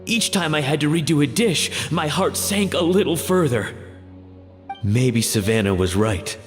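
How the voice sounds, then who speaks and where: A discouraged cook from a video game, hesitant and full of self-doubt. His voice is rather high and moves expressively up and down, in a reverberant recording. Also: animated, unhurried, faint music behind. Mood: dejected. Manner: hesitant, dejected. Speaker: struggling cook. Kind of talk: scripted video game voice acting.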